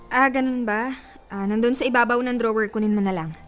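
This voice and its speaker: Woman acting a high-pitched, plaintive heartbroken young woman. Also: fairly even intonation, fairly quick, close to an everyday voice. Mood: sulky, uneasy. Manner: plaintive, hesitant. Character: heartbroken young woman clinging to her cheating boyfriend. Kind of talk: Tagalog radio drama acting. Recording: music bed behind the voice.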